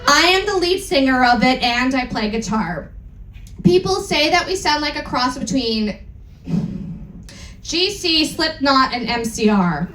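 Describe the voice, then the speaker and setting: A woman's voice: high voice, animated, unhurried, bright, forceful tone. A woman giving a comic live dramatic reading in a theatrical, mock-serious way, breaking off for asides. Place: live event room with audience. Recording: roomy live stage sound.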